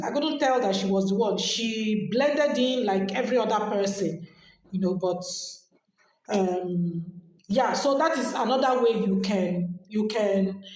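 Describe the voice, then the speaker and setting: Nigerian woman in a very echoey room. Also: fairly high pitch. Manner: chatty, repeats phrases while explaining. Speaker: podcast host. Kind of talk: casual advice chat.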